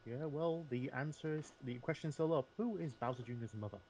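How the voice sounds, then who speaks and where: Quick-talking male podcast panelist, informal delivery, audible background noise. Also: mid-range voice, darkish tone, rough recording. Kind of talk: casual chat.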